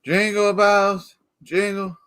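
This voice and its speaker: An actor playing a drunken, grumbling department-store parade Santa in a radio play, tipsy and defiant. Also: male voice, sits high, little pitch movement, slow pace, fairly bright tone, smooth. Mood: tipsy singing. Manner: tipsy, sing-song, grumbling. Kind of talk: 1940s-style radio play acting.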